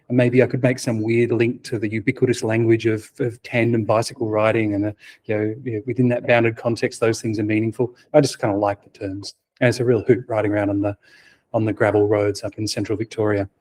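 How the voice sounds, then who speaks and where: A middle-aged man giving a technical conference talk, soft-spoken with a quiet voice. He hesitates often, fills with "um" and restarts, and drops wry asides. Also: on the low side, little pitch movement, fast. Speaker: conference speaker.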